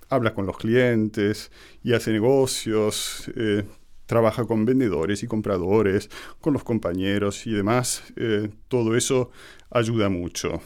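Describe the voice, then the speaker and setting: A man from Argentina with an Argentine accent, speaking as an interview guest in a measured, explanatory way with hesitant pauses. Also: on the low side, a little mellow. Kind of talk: conversational radio interview monologue.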